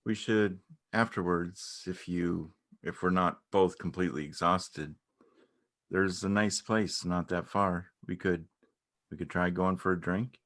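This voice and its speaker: A man acting a low-voiced, gentle, awkward man. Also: fairly even intonation, a little slow. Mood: nervous, hesitant. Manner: hesitant, repeats himself, understated. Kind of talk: dramatic reading of a stage play dialogue.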